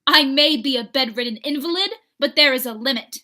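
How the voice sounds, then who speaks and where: A woman acts out a jealous bedridden wife in an old-time radio play. Her voice is high and piercingly bright. She is stern and imperious, pressing every accusation. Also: brisk. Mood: cold, stern. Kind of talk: 1940s radio drama acting.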